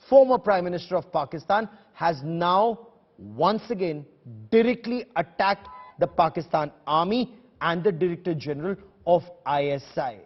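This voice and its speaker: A man's voice: high voice, highs cut off. A male TV news anchor with an Indian English accent, presenting and questioning in an emphatic, dramatic style. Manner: emphatic, repetitive, theatrical delivery. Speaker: TV news anchor and debate host.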